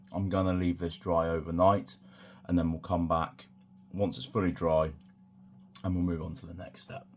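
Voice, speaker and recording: A man with a croaky voice from a sore throat, talking through a hobby tutorial in a relaxed, rambling way with many fillers. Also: low voice, a little hiss or hum. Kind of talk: casual hands-on tutorial.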